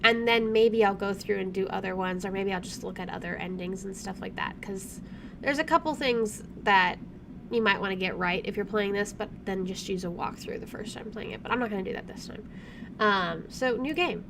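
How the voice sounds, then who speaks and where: A woman's voice: mid-range voice, a little fast. A woman hosting a casual game playthrough, chatty and playful with wry asides as she comments and reads aloud. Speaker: gaming video host. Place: indoors at home, air conditioner running. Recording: clear background air conditioner noise.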